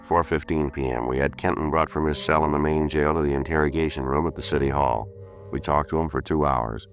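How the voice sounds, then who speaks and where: An actor playing a terse, unflappable police detective, clipped and deadpan, in a 1950s radio crime drama. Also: male voice, a bass voice, fairly quick, soft background music. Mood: matter-of-fact.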